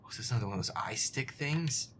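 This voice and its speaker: A man playing a feisty, profane young werewolf boyfriend muttering jumpily through a scary video game in a breathy, hushed voice. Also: medium pitch, lively intonation, fast, noisy background, natural voice. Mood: irritated muttering. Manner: profane, irritable, jumpy muttering. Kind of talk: improvised-sounding gaming commentary, audio roleplay.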